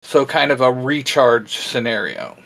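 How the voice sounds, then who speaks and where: A man's voice: mid-range voice, very animated, a little slow, gravelly, fairly bright tone, low-quality recording. A man co-hosting a casual, geeky group chat in a teasing, joking way. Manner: teasing, jokey. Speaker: co-host of a fan discussion show.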